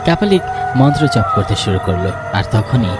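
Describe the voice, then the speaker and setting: A man's voice: medium pitch, sing-song, normal speed. A man narrating a scripted ghost story in a dramatic storytelling style. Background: background music.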